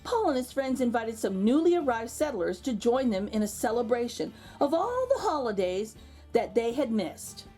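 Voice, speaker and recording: A woman reading a picture book aloud to children as an expressive storyteller, with exclaimed asides to her young listeners. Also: on the high side, moderate pace, soft background music. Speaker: children's read-aloud host.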